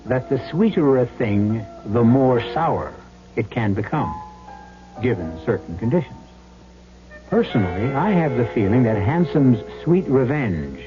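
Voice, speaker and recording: A man narrates an old radio mystery as its host. He speaks very slowly, with ornate, ominous phrasing. Music plays behind him and the recording is dull. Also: medium pitch. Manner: measured, ornate, ominous storyteller.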